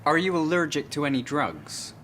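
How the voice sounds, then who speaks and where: A polite pharmacist. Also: male voice, a little high, sing-song, normal speed, fairly bright tone, slight background noise. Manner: polite, plain questioning. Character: helpful adult male pharmacist. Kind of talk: scripted learning-video dialogue.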